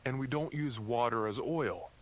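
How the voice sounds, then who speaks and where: An actor playing a fatherly, patronizing puppet priest who gently preaches to children with a faintly sinister edge. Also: medium pitch, moderate pace, very noisy, close to an everyday voice. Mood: gently stern. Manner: patronizing, instructive. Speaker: pastor to children in a puppet show. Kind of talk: children's religious puppet show parody.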